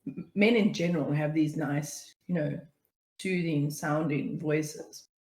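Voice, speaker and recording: High-voiced chatty woman, echoey room. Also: fairly even intonation, slow, a little mellow. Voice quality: high-pitched voice. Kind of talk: casual chat.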